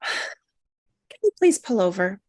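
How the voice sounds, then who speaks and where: A woman's voice: mid-range voice, very animated, slow, a little mellow. A woman in a comedic table read, playing a miserable, exasperated, self-pitying single woman in a plaintive, natural way. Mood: weary.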